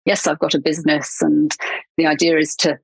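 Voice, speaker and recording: A woman's voice: low voice, little pitch movement, brisk, gravelly, breathy edge, poor audio. A middle-aged Englishwoman chatting as a podcast guest, laughing often and trailing off with fillers and restarts. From England. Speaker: podcast guest, marketing consultant. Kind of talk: casual interview chat.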